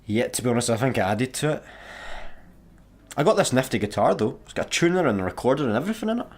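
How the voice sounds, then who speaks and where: An actor with a Scottish accent playing a daft, easygoing janitor in a sci-fi comedy radio play, casual and obtusely deadpan. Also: male voice, mid-range voice, quick pace, close to an everyday voice. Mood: wry, casual. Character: daft, easygoing, literal-minded male slacker janitor.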